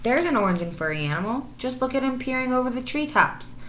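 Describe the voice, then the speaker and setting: A woman voicing an eager, impressionable little cat with an excitable manner, in a storybook. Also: high-pitched, fast talker, reverberant, a little hiss or hum, pitched above the performer's own voice. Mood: excited.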